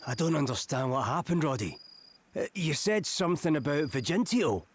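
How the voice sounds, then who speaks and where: Lively, concerned loyal friend. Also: male voice, fairly high pitch, normal speed. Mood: bewildered. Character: concerned, bewildered friend of the townsman. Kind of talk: video game drama acting.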